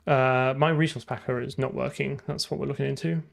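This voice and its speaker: A man's voice: medium pitch, steady tone, fairly quick, creaky. A man thinking aloud in casual live coding commentary, muttering to himself and trailing off mid-thought. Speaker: programmer livestreaming game development.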